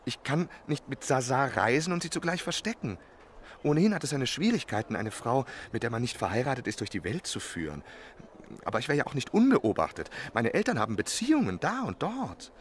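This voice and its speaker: An actor in a German radio drama playing a lovesick, spoiled young French marquis who talks in an affected, confiding way. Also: male voice, mid-range voice, big pitch swings, fairly quick, a little hiss or hum, lightly acted. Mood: desperate, scheming. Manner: affected, florid, confiding speech.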